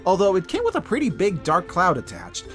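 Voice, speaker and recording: A man's voice: high voice, wildly swooping pitch, a little fast, fairly bright tone, soft background music. A man giving scripted review commentary as a host, with an emphatic, playful, colloquial delivery. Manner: emphatic, playful, colloquial, with dramatic repeats for effect. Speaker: host of a yearly media review show.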